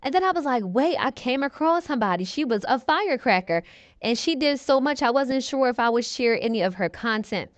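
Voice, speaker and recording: A woman's voice: sits high, expressive, fairly quick, no room sound. A woman giving casual, chatty commentary as a host introducing clips.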